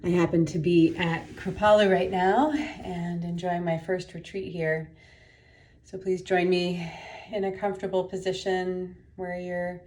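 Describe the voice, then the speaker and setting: A woman leading a guided meditation as a teacher, giving gentle, unhurried instructions with pauses. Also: on the low side.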